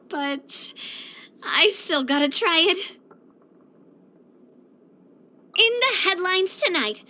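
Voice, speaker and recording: A woman's voice: extremely high pitch, exaggerated voice. An actress playing a spirited, proud and affectionate young woman boss in a video game, with a theatrical, bubbly delivery. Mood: playful, chatty. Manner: theatrical, expressive, bubbly, with playful grandiosity. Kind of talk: scripted video game character voice lines.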